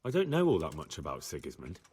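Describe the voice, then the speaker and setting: An actor playing a curious, plain-spoken young man in a medieval video game, with a husky voice. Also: a bass voice, wildly swooping pitch, fast, a little mellow, exaggerated voice. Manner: plain, inquisitive.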